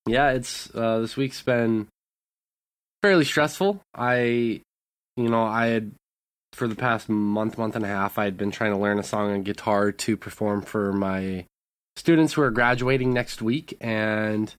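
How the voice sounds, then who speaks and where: Male co-host, deadpan jokes, low-pitched, dry close mic. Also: a little slow, no echo. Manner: deadpan joking, laid-back storytelling. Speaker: podcast co-host. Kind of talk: casual podcast chat.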